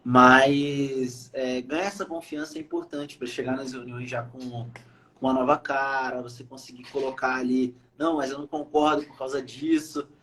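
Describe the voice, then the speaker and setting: A man's voice: mid-range voice, little pitch movement, normal speed, sharp tone, slight background noise, rough recording. A young Brazilian man from Brazil, a podcast guest speaking casually with many fillers and hesitations. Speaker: podcast guest, iOS developer. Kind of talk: casual interview chat.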